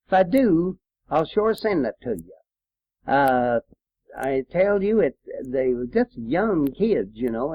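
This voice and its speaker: An old man with a rural American accent talks very slowly in a fairly high voice. He rambles through memories in a folksy way, pausing often, on a muffled lo-fi home tape. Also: no echo. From Appalachian hill country, United States. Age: elderly, 70s or older. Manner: folksy, unhurried, with trailing pauses. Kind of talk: rambling personal reminiscence recorded as an audio letter. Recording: dull, lo-fi home tape recording.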